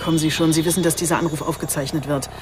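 An actress playing a composed, firm investigator in a German radio crime drama, speaking in a controlled, earnest way. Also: female voice, low-pitched, steady tone, rapid-fire, lightly acted. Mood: tense, urging. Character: composed, firm female investigator handling a killer.

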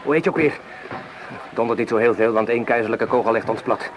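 An actor playing a fervent young revolutionary fighter in a Dutch radio play, impassioned and urgent. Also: male voice, mid-range voice, fast, lightly acted. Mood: commanding, urgent. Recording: noisy old radio drama recording.

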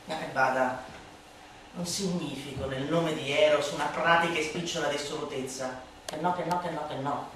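A woman playing a sardonic, scornful satirical orator in a theatrical radio monologue, declamatory and emphatic, moving between hushed asides and loud outbursts. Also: deep voice, fairly even intonation, moderate pace. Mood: confiding, sardonic. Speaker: actress performing a literary monologue. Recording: reverberant room, distant voice, faint noise.

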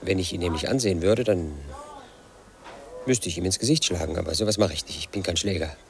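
A brooding, resentful painter. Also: male voice, fairly quick, a little hiss or hum. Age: late 30s. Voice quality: low, brooding, bitter inner monologue. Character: brooding resentful house painter, 38, lonely and suspicious. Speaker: protagonist narrating his life. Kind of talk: radio drama monologue.

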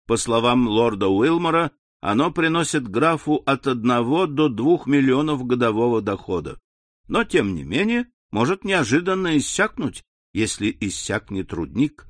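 A man narrating a classic novel as an audiobook, in an even, measured reading on a close studio mic. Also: medium pitch, dry recording.

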